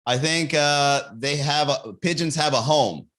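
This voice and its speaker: A young man hosts a comedy livestream podcast and riffs loosely with plenty of swearing, often breaking into his own laughter. His voice is a touch higher than most men's. It has a bright, forceful edge. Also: measured pace. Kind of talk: riffing stand-up on a livestream podcast.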